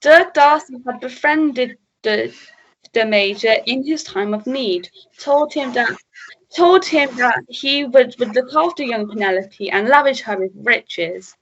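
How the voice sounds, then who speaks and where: A steady police sergeant played by a woman in a melodramatic radio play, speaking very slowly in a highish voice. The delivery is halting and calming, on a dull recording. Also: slight background noise. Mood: grave. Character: steady, level-headed police sergeant rallying constables.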